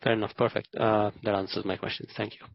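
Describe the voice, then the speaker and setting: An Indian man, an analyst, politely asking spontaneous questions in a halting, hesitant way. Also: lowish voice, flat delivery, gravelly. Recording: dull, lo-fi phone conference audio.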